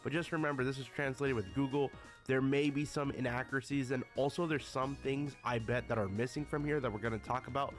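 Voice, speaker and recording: A man's voice: mid-range voice, fairly even intonation, fairly quick, soft background music. Slightly sick male gaming YouTuber. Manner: addresses viewers often as guys. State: a little sick. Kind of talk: casual video commentary.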